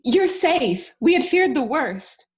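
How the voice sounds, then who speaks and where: Brief: rebel commander played by a woman, high voice, formal, earnest, anxious, for a sci-fi table read. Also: sing-song, takes it slowly, heavily acted. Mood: relieved, grave. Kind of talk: virtual script table read.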